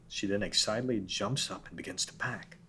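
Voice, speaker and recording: A man reading the scene directions of an animated pilot script at a table read. His voice is very low, and his delivery is steady and matter-of-fact. A faint background hiss runs under him. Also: fairly quick. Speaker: narrator reading stage directions.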